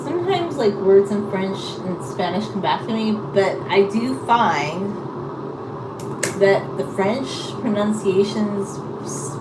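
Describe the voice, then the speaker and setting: A woman chatting casually to viewers in a rambling, hesitant, apologetic way. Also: medium pitch. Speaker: live-streamer chatting with viewers. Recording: echoey room, distant mic, loud background noise.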